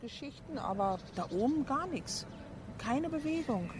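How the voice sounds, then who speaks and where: A woman's voice: high voice, expressive. Middle-aged woman, chatty, lots of stops and restarts, noisy outside recording. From Berlin, Germany. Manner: chatty, halting with restarts and fillers. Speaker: interviewee, resident. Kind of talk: casual chat. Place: outdoors by a high-rise block. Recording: outdoors with loud background noise.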